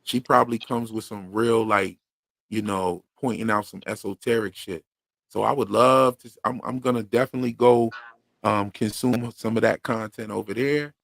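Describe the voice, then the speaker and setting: A man with a Philadelphia accent hosts a relaxed livestream, talking in easy slang with lots of fillers. His mid-range voice carries a gravelly edge, and the sound is close and dry. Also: lowish voice, normal speed, dry recording. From Pennsylvania. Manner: laid-back slangy talk with frequent fillers. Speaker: podcast host. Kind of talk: casual livestream chat.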